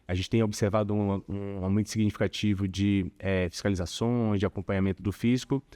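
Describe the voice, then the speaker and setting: A man from Bahia with a Bahian accent, chatting as a corporate guest in an interview, relaxed and full of small filler tags. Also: lowish voice, brisk, clean tone. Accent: Bahian Portuguese. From Salvador, Bahia, Brazil. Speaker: corporate tax director, guest. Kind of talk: casual interview conversation.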